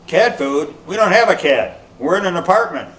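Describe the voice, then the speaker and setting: Man playing a grumpy skeptic, very animated pitch. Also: normal speed. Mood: grumbling, sarcastic. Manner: dry, complaining. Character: grumpy, suspicious, sarcastic older male skeptic. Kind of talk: amateur readers theater. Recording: echoey room from far off, faint noise.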